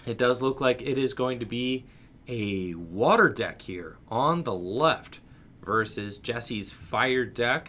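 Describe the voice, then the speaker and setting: A man with a raspy voice giving upbeat live commentary on a card game tournament and hosting a stream. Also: mid-range voice, bright, forceful tone, slight background noise. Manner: upbeat, hyped streamer energy. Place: small game store studio.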